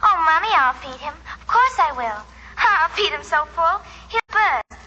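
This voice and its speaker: Little British girl, earnest, very bright, muffled noisy old radio recording. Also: very high-pitched, expressive, a little slow, full character voice. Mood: eager, giddy. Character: earnest, innocent twelve-year-old English girl. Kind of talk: 1940s radio acting.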